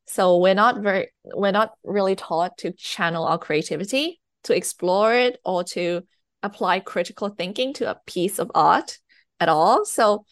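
Woman from Thailand, English second language, guest author, low gravelly voice, hesitant, laughs a lot. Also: dry recording. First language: Thai. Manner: hesitant, laughs often, many fillers. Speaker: guest novelist. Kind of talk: casual interview chat.

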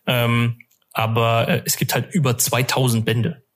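A man's voice: mid-range voice, level tone, a little slow, a bit bright. A man hosting a fan podcast, chatting casually and thinking aloud in a colloquial, hesitant way.

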